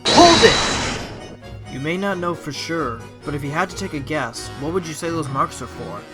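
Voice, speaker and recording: A man's voice: on the high side, animated, moderate pace, over loud music, lightly acted. A man playing a determined, wry fox defense lawyer in a courtroom audio drama, speaking earnestly. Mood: challenging.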